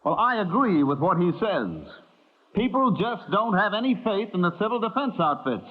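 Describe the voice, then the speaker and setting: An actor playing a brash, defeatist newspaper reporter in a vintage radio drama, blunt and argumentative. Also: male voice, high voice, big pitch swings, moderate pace. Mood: challenging. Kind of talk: 1950s radio drama acting. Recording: tinny old radio broadcast.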